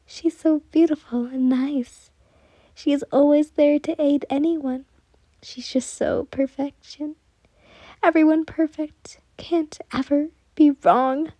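Woman acting out a jealous, high-voiced, lovesick young man. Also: slow, mellow, dry recording, dull audio. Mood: adoring. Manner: dramatic, emotional.

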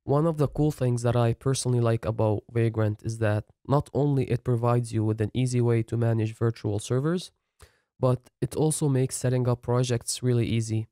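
A man talks through a coding setup tutorial in a steady, step-by-step way. His voice is mellow and dark, at an ordinary pitch. It is recorded dry and close, as a voice-over. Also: normal speed, smooth, no room sound. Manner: plain step-by-step explaining. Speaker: software tutorial instructor.

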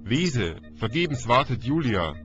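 A man's voice: mid-range voice, sing-song, a little slow, fairly bright tone, voice pushed up. Exultant killer acted by a male narrator, declamatory and gloating, dull recording with music behind. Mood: frenzied triumph. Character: exultant, raving male murderer gloating over his victim.

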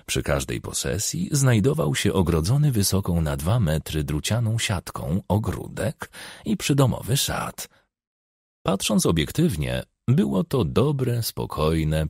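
Audiobook narrator, man, low gravelly voice, measured storytelling of a crime novel, close and dry recording. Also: darkish tone, no room sound. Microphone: close studio microphone.

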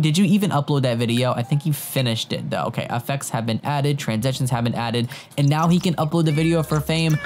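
A young man talks through his game in a casual, excitable running commentary, speaking straight to his viewers. A cold leaves his voice sounding a little off and his throat sore. His pitch is middling for a man, and faint music plays underneath. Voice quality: voice sounds a bit off from illness. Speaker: gaming YouTuber. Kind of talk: casual let's-play commentary. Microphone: headset mic.

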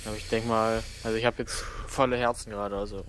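A man's voice: fairly low pitch, little pitch movement, quick pace, fairly bright tone, slight background noise. A man casually talking while playing a game alongside a friend, blunt and teasing, swearing when something goes wrong. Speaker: co-player. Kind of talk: casual gameplay chat.

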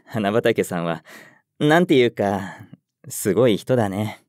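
A man's voice: lowish voice, animated, a little slow, darkish tone, dry recording, studio quality. An actor playing a timid, overthinking young male office worker who stammers when flustered and also narrates the story. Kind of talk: first-person narration and dialogue.

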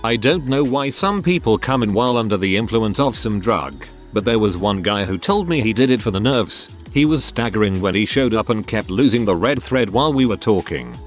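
A man narrating online posts in an even, low-key reading voice. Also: brisk, few pauses, faint music behind. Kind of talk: scripted narration.

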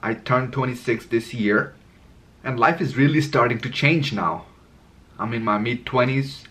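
A man's voice: mid-range voice, measured pace, fairly bright tone. A man in his twenties talking unscripted to camera in a room at home, candid and a little rambling as he sorts his thoughts. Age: 20s. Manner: candid, rambling, searching for words. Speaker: vlogger. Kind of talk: unscripted reflective monologue to camera. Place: indoor room with curtains.

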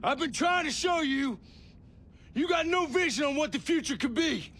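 An actor playing a menacing renegade military commander in a video game, taunting and calling out forcefully. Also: male voice, very high-pitched, expressive, normal speed. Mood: menacing, persuasive. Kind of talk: video game cutscene acting. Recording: faint ambient noise behind.

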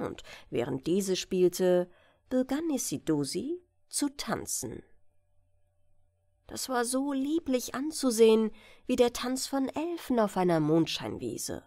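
Female audiobook narrator for bedtime fairytales, unhurried, animated melody, close dry sound. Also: on the high side, no room sound. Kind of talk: expressive bedtime fairytale reading.

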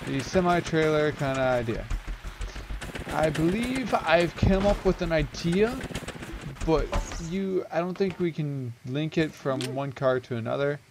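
Gravelly young man explaining a game build. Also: a little high, a little slow, noisy background. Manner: rambling, self-correcting, chatty with many ums. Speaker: gaming video creator. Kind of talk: casual game build walkthrough. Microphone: headset.

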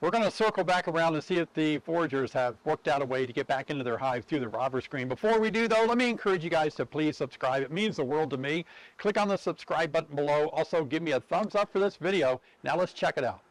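Older man, outdoor vlog host, friendly explanations, fairly high voice, dry close lapel sound, bees buzzing behind. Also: expressive, fast talker, no echo, low-quality recording. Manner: friendly, explanatory, thinking aloud with fillers. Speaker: beekeeping channel host. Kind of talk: casual instructional vlog. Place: outdoors beside beehives. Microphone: lapel mic.

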